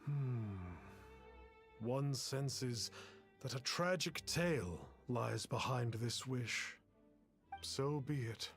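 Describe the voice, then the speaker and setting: An actor voicing a masked self-styled sage who speaks in a grandiose, archaic way. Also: male voice, mid-range voice, extremely slow. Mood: lofty. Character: masked pompous fake sage, middle-aged man. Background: game music.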